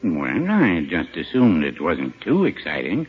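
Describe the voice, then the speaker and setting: Casting: droll, henpecked American druggist, middle-aged, radio sitcom. Folksy and hesitant, lively pitch swings, dull vintage sound. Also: male voice, measured pace, breathy edge, heavily acted. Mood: dryly skeptical. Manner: dry, hesitant, folksy, chuckling. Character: mild, droll, henpecked small-town druggist, an older married man. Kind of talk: 1950s radio situation comedy acting. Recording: dull, faintly noisy 1950s radio recording.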